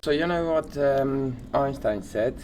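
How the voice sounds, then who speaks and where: Male podcast co-host, unhurried and halting, medium pitch, informal talk, faint background noise. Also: darkish tone. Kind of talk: casual chat, sharing odd facts.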